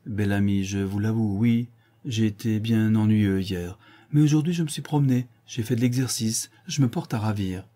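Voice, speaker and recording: A man reading as a brooding ironic gentleman. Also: fairly low pitch, normal speed, close to an everyday voice.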